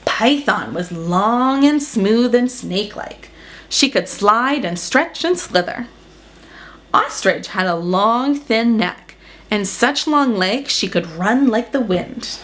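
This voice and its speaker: Woman, children's story narration, unhurried, expressive, highly varied pitch, dramatic emphasis. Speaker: storyteller reading a picture book aloud.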